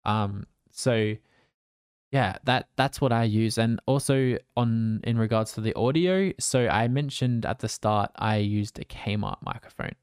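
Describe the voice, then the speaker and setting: Relaxed man, smooth low voice. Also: measured pace, no room sound, clean studio sound. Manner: relaxed, rambling, with fillers and restarts. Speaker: streamer giving advice. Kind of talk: casual advice talk to camera. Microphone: condenser desk mic with pop filter.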